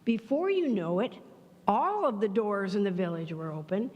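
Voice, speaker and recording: Woman, church storyteller, animated, expressive, mid-pitched, long reverb of a sanctuary. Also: normal speed, highs cut off. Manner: animated, expressive, acting out voices. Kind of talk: storytelling for all ages.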